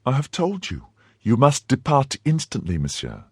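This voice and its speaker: A man voicing an indignant young wife who scolds her husband, pushing his husky voice higher. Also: huge pitch swings, moderate pace, darkish tone, no room sound, studio quality. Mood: commanding. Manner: scornful, pleading and scolding by turns. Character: young woman, indignant, fiercely loyal wife.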